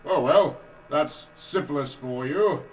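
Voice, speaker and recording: A man's voice: on the high side, slow pace, distant mic, soft background music, low-quality recording. An actor playing a pompous, deranged old king in a comic audio drama, blustering and grandiose.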